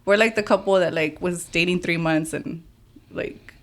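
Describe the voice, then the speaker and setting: A woman guests on a podcast and speaks in a somewhat low voice. She talks frankly and rambles, leaning on filler words. She is recorded on a studio microphone. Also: moderate pace, a little hiss or hum. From United States. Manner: rambling, heavy use of "like", frank. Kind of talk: casual podcast chat.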